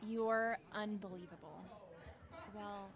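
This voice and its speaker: A woman playing an earnest, stubborn teenage girl who is quick to scold, in an audio drama. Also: medium pitch, vocal fry, a faint crowd.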